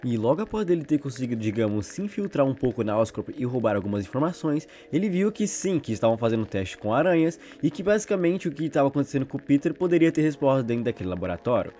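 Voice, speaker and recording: A man's voice: medium pitch, big pitch swings, fast talker, hardly any pauses, dark tone. A man with a Brazilian accent doing informal video narration as a channel host, retelling and commenting on horror stories in a conversational way. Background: background music.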